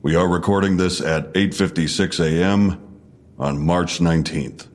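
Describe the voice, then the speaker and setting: A very low male voice plays a stern police detective in an audio drama. He questions in a measured, probing way, with a sardonic needle in his delivery. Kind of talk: full-cast audio drama interrogation.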